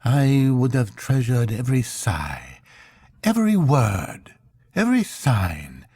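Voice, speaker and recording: A man's voice: mid-range voice, huge pitch swings, slow pace, warm tone, breathy edge, no room sound, clean studio sound, heavily acted. A man reading an audiobook voices a grieving young woman, the devoted fiancée of a dead man, in a pleading, trembling way. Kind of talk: audiobook reading of a literary novel.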